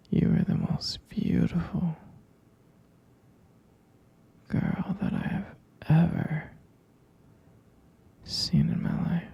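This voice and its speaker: A man as a whispery, gravelly vampire boyfriend. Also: on the high side, exaggerated voice. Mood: tender, wistful. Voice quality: breathy, husky half-whisper with gravelly fry. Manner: hesitant, gentle murmuring with hums and pet names. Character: tender, sleepy half-vampire boyfriend, soothing his partner. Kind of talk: intimate ASMR roleplay.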